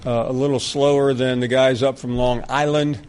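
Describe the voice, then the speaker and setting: A man's voice: mid-range voice, smooth. A middle-aged man with a Southern US accent, hosting a sports talk radio show and bantering with callers. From American South. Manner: quick, animated, jokey banter with callers. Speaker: radio host.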